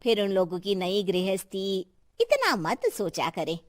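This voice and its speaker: A woman's voice: mid-range voice, expressive, unhurried, no room sound, studio quality, lightly acted. Woman playing a kindly, patient older mother-in-law. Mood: gentle. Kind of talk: Hindi cartoon story voice acting.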